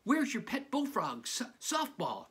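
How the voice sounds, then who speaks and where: A man's voice: very high-pitched, wildly swooping pitch, a little slow, a bit bright, husky, voice pushed up, exaggerated voice. A man reading a storybook, voicing an eager, kind-hearted second-grade zombie boy with chirpy, earnest questions. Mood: friendly, caring.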